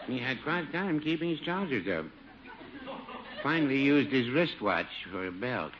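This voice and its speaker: A man's voice: medium pitch, big pitch swings, moderate pace, a loud crowd, lightly acted. An actor playing a mild, folksy small-town druggist with droll salesman patter in 1950s radio comedy. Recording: dull, noisy old radio with audience.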